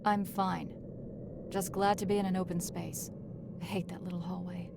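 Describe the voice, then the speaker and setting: A woman voicing a curt, guarded, grumpy young assassin in a visual novel game, grumbling in deadpan. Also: lowish voice, normal speed, creaky, noisy background. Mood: grumpy, defensive.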